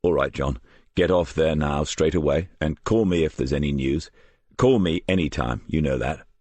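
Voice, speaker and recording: Deep-voiced wry detective read by a man. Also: normal speed, a little mellow, no echo. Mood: concerned, brisk. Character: seasoned, wry, reflective male police detective.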